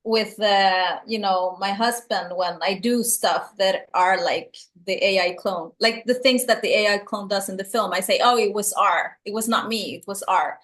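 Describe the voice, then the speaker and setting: A woman's voice: mid-range voice, normal speed, hardly any pauses, fairly bright tone. Salvadoran-born filmmaker woman, Swedish-tinged English. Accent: English with a Swedish and San Francisco accent. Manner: earnest, repeats words as she searches. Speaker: filmmaker guest. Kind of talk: casual interview answers.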